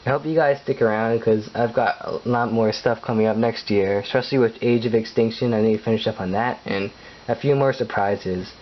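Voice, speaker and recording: A man's voice: fairly low pitch, brisk. A late-teen young man, chatting casually in a rambling home vlog, full of fillers and restarts and frequent chuckles. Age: late teens. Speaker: vlogger. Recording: dull lo-fi recording with faint hiss.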